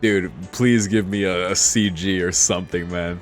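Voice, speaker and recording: A man's voice: deep voice, steady tone, moderate pace, soft background music. A man streaming a let's play, amused and slangy, commenting casually and reading lines aloud.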